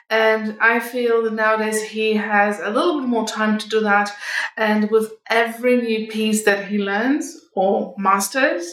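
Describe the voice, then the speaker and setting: A middle-aged woman giving advice to viewers as a vlog host, in a measured, explanatory manner. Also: medium pitch. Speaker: communication coach and vlog host. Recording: echoey room, distant microphone.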